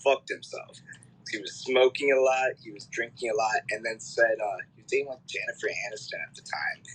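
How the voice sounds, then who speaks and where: Rambling young man with a bright voice. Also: on the low side, brisk, slightly rough, poor audio. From Canada. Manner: rambling, swearing, filler-heavy. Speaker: musician guest. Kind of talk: casual interview chat.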